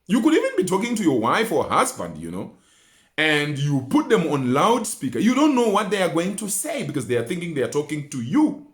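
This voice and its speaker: Animated man teaching, echoey room. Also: a little high, a little fast. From Botswana. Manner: emphatic, didactic, uses rhetorical questions and repetition. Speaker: research mentor and communication coach. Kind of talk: instructional talk with numbered tips. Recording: roomy, echoing indoor sound.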